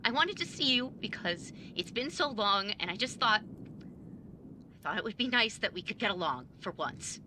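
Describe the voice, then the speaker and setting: A woman's voice: mid-range voice, sing-song, normal speed, noisy background, lightly acted. A young woman playing a cranky, impatient younger sibling in an audio drama, talking bluntly and with lots of swearing. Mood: defensive, angry.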